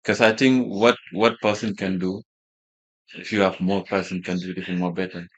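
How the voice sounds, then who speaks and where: Hesitant Wolof-speaking man, low voice. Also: little pitch movement, normal speed, rough recording. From Gambia. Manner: hesitant, many fillers and restarts. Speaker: fashion brand founder, guest. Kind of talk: casual interview talk.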